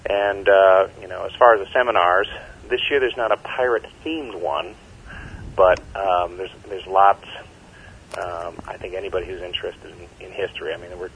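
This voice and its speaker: Deep male voice, enthusiastic event guest, muffled lo-fi phone recording. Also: steady tone, normal speed, fairly bright tone, a little hiss or hum. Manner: rambling, many fillers, enthusiastic. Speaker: event organizer, interview guest. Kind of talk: phone interview.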